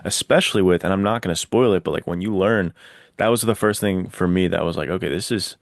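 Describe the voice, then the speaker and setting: A man in an excitable, slangy, casual chat about books, as on a podcast. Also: low voice, quick pace, creaky, dry recording, clean studio sound. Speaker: podcast host or panelist. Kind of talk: casual enthusiastic book chat.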